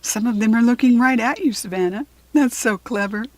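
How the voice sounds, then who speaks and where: A woman's voice: fairly high pitch, brisk, no echo, natural voice. A woman with an American accent voicing a wide-eyed, admiring young girl in a children's storybook. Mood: amazed, willing. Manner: wondering, exclamatory.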